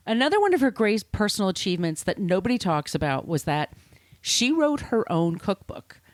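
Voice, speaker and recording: A woman's voice: mid-range voice, sing-song, normal speed, dry recording. A woman podcast host telling a story in casual chat, informal and sweary, with conversational asides.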